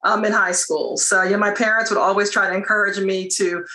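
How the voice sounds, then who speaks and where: A woman's voice: medium pitch, steady tone, fairly quick, pushed and bright, slight background noise, rough recording. A woman from Philadelphia on a video call panel, upbeat and confident. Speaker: alumna panelist.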